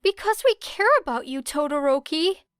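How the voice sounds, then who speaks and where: A woman's voice: high-pitched, animated, normal speed, a bit bright, no echo, studio quality, voice pushed up. A woman voicing an earnest, hesitant teenage boy who stammers in an audiobook story. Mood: gentle, pleading.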